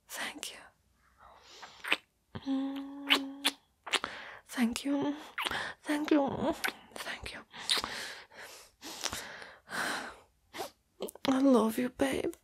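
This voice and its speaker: A woman voicing a shy, caring spider monster girlfriend in a gentle, intimate, mostly whispered ASMR roleplay. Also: high voice, steady tone, very slow, pauses often, heavily acted. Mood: loving, soft. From Italy.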